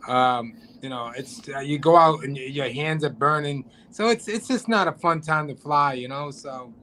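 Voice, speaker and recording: A man's voice: fairly high pitch, moderate pace, bright, forceful tone, slight background noise, low-quality recording. A man talking as a guest on a live drone show, rambling with many restarts and fillers. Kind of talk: casual chat on a live show. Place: home, cold region.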